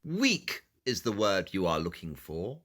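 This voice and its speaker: A razor-sharp detective, voiced by a man in an audio drama talks in a bright, forceful tone. He walks through his reasoning with dry, precise confidence, and his pitch rises and falls freely. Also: wildly swooping pitch, a little slow, full character voice. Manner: precise, deductive, drily confident.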